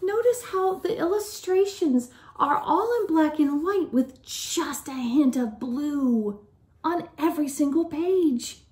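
A middle-aged woman reading a picture book aloud to young children in an expressive teacherly way. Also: high-pitched, takes it slowly. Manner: expressive, questioning, child-directed delivery. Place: living room at home, indoors.

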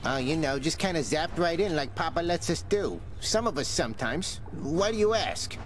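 Man voicing a chummy, higher-pitched skeleton sidekick. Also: expressive, moderate pace, a little hiss or hum, close to an everyday voice. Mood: casual. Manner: folksy, chummy, evasive. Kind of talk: video game character acting.

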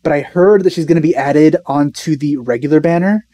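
A man's voice: a little high, brisk, mellow, dry recording. A young man giving casual reaction commentary in a laid-back, musing way. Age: young adult. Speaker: reaction video host.

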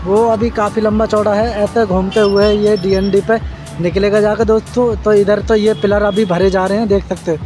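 A man's voice: sits high, little pitch movement, moderate pace, over music. A man casually vlogging outdoors beside a busy road, pointing things out and talking straight to his viewers on a handheld phone. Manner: repetitive, addresses viewers as friends, points things out. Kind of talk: casual walking construction-site vlog.

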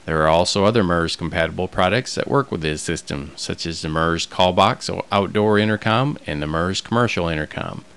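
A man reading a scripted promotional narration in a measured, informative way. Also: low-pitched, creaky, a bit bright, smooth. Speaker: product video narrator.

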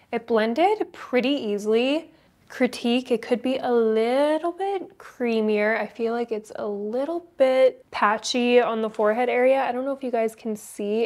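A young woman with a stuffy, congested voice, chatting candidly and upbeat through a makeup tutorial in a plain indoor studio. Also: on the high side. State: congested from a cold. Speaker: beauty creator. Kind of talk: casual makeup tutorial chat.

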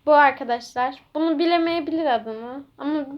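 High-pitched, childlike casual game host. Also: normal speed, highs cut off. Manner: instructing and prompting a co-player. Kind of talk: casual playful chat to viewers.

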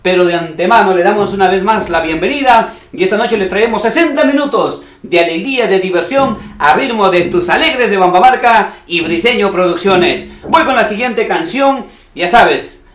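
A man's voice: high-pitched, reverberant. A man from the Cajamarca region of Peru hosting a music TV show, speaking straight to viewers in an upbeat, promotional way. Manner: lively, promotional, talks to viewers directly. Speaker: TV show host.

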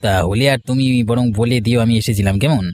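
Man acting a quick-talking village neighbour. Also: mid-range voice, a little mellow, slight background noise, lightly acted. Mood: casual, businesslike. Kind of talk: cartoon dialogue, moral story.

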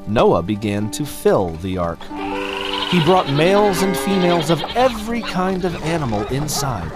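A man narrates a scripted Bible story for children. His middle-pitched voice rises and falls widely, and he phrases each line carefully at a slow pace. Music plays clearly behind him.

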